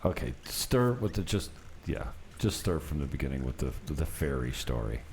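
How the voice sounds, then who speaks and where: A man's voice: extremely low pitch, sing-song, normal speed, a little mellow. A man hosting a casual, unscripted interview, joking and cutting in as he goes. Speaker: podcast host.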